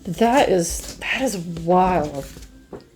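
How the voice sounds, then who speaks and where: A middle-aged woman with a hoarse, scratchy voice, chatting slowly to viewers as she reviews art pens and marvels at their colors. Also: lowish voice, animated, a little mellow, faint music behind. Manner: slow, musing, easily amazed, talks to viewers. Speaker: craft channel host. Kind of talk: casual product review chat.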